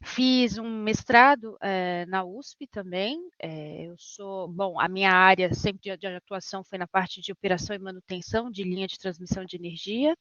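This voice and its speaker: A woman's voice: mid-range voice, steady tone, normal speed, few pauses, a bit bright, no room sound. A woman in her thirties with a Brazilian Portuguese accent, telling her own experience informally as a guest on a dull recording. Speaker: guest, engineer. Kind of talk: informal personal account. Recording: dull, lo-fi audio.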